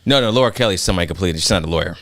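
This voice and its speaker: Podcast host: man, low pitch, fast delivery, presses hard on his guest. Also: animated, fairly bright tone, dry recording, clean studio sound. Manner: pressing, reads viewer comments aloud. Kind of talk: podcast interview.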